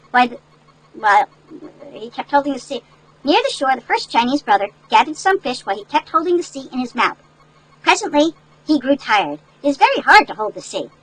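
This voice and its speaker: Older female storyteller for children's picture book: high-pitched, very animated, folksy asides, faint background noise. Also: low-quality recording. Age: older, great-grandmother. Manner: folksy, explains words to young children. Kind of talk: animated read-aloud with chatty asides. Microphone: headset.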